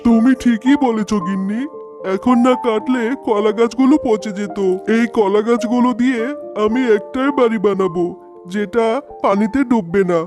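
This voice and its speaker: Man as nice clever fox husband in a cartoon, super high voice, earnest, music bg. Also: moderate pace. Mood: determined. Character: kind, resourceful male fox husband. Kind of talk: cartoon dialogue. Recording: music behind the voice.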